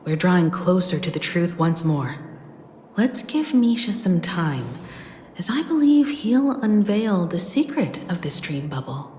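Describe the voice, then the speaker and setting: A woman's voice: on the low side, very animated, a little slow, mellow, a little hiss or hum. A woman acting a composed, assured, analytical mentor in a video game, poised and explanatory. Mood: thoughtful, reassuring. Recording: echoey sound with music behind.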